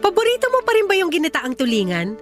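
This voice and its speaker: A young mother who will not let go of her ex. Her voice is very high, and she speaks in an insistent, pleading way. It is radio drama, with soft music underneath. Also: wildly swooping pitch, quick pace, full character voice. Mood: tentative, coaxing.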